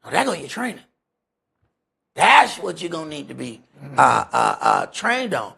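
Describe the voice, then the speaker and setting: A man's voice: fairly high pitch, big pitch swings, unhurried, vocal fry, bright, forceful tone, husky. A man teaching scripture in an informal sermon, conversational and emphatic, repeating phrases for effect. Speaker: priest teaching a Bible study.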